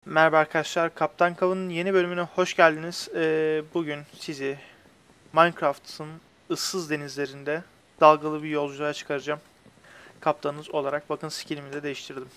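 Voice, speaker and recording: A young man hosts a casual gaming session. His voice sits a little high for a man. He chats with friends as he explains what he is doing in the game. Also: flat delivery, normal speed, fairly bright tone, clean tone. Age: young adult. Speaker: host of a gaming video channel. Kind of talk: casual let's-play commentary with friends. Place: indoors at a computer, webcam shown.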